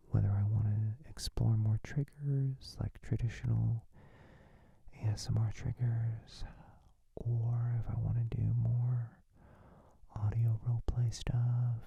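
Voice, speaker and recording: A man chatting casually in a soft, hesitant whisper, like an ASMR creator signing off to listeners. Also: fairly low pitch, creaky, husky, no room sound, lo-fi sound. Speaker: voice actor and ASMR channel creator.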